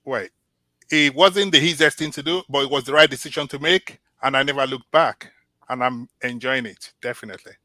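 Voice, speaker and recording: A man from Nigeria whose first language is Yoruba, answering interview questions as a job candidate in an earnest, measured way with small restarts. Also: mid-range voice, sharp tone, dry recording.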